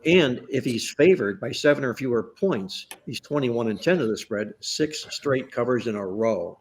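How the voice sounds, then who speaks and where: A man's voice: mid-range voice, expressive, moderate pace, a little hiss or hum. A man hosting a sports betting podcast roundtable, chatty and stat-heavy, joking along the way while he is losing his voice. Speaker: podcast host.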